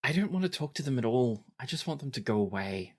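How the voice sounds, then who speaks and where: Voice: mid-range voice, big pitch swings, fast. A tabletop player voicing a reserved, hesitant young princess who speaks with slight formality. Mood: uneasy, reluctant. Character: reserved young princess, reluctant, formal, matter-of-fact about war.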